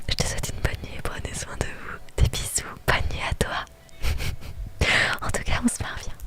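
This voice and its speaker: A woman whispering in a breathy ASMR style as she plays a story game, with playful, teasing asides to her viewers. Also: normal speed, pushed and bright. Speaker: streamer reading and reacting to a dating story game. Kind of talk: whispered ASMR game playthrough with live commentary.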